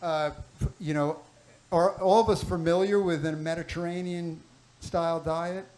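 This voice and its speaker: Guy hosting a clinic health panel, kinda high voice, lots of ums and stops. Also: fairly even intonation, darkish tone, poor audio. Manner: halting, lots of fillers and restarts. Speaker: panel host, clinic doctor. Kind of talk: moderating a live health panel. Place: clinic event room.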